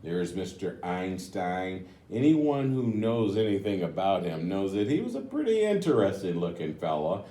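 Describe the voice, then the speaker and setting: A man with a fairly low, mellow voice reads a picture book to young listeners at an unhurried pace. His pitch moves expressively as he gently explains and adds chatty asides. The recording has a noticeable room echo. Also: sing-song. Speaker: mayor reading a picture book to children. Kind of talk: read-aloud storytelling with chatty asides. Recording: roomy, echoing voice recording.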